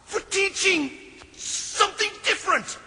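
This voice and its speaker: An actor playing an agitated, suspicious schemer in an animated film, urgent and sneering in his delivery. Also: very high-pitched, unhurried, gravelly, slight background noise, heavily acted. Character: agitated, scornful, suspicious Meccan male schemer. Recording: film music behind the dialogue.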